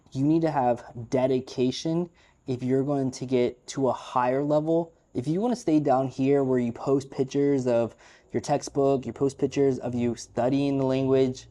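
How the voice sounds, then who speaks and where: A man's voice: mid-range voice, fairly even intonation, a little slow, mellow. A man speaking English as his first language, giving a frank, opinionated, unscripted talk to camera, rambling with fillers. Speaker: vlogger. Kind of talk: unscripted casual monologue.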